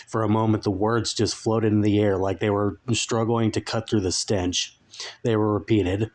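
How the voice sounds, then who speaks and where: A man narrating a comic story as a dramatic reading, with deliberate, mock-solemn phrasing. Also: on the low side, level tone, a little mellow.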